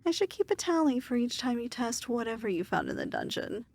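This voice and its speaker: A woman playing a haughty, weary demon lord in an ASMR fantasy roleplay, speaking in a formal, measured, imperious manner. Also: fairly high pitch, very animated, gravelly, a little mellow, a little hiss or hum. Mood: dry, chiding.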